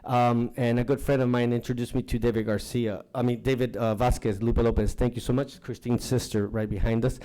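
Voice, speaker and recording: A man whose first language is Nahuatl, giving a rambling, halting public comment at a council meeting with many fillers. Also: mid-range voice, flat delivery, dark tone. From Anaheim, California.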